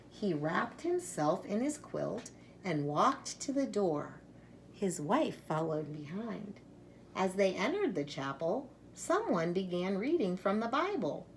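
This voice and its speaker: Unhurried woman reading a children's storybook, slightly gravelly. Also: mid-range voice, a little hiss or hum. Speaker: storybook reader. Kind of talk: expressive children's read-aloud.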